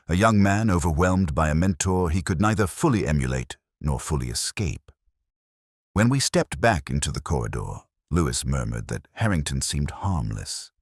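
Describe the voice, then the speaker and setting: Man playing a deep-voiced brooding detective narrator. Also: husky, no echo, clean studio sound, full character voice. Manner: measured, solemn, literary phrasing. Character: brooding, contemplative male police detective recounting a murder case. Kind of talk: first-person scripted mystery narration, audio drama.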